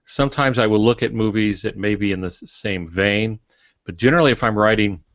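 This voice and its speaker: A middle-aged man who writes fiction, chatting on a podcast in a measured, explanatory way with dry humor. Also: fairly low pitch, a bit bright. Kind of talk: casual podcast discussion. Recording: dull audio in echoey room.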